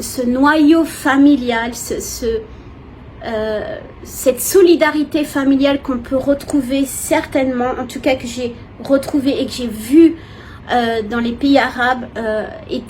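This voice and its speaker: A woman from France, giving a personal, expressive and hesitant testimony to viewers in casual talk. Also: high-pitched. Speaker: vlogger. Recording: clear background noise, ambient sound.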